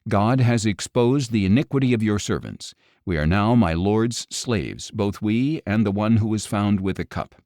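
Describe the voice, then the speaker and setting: A humble grown brother , read by a male narrator, pleads for his family in a scripture audiobook. The voice is fairly low, earnest and deferential, with a close, dry sound. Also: animated, moderate pace, few pauses, a little mellow, dry recording. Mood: anguished, resigned.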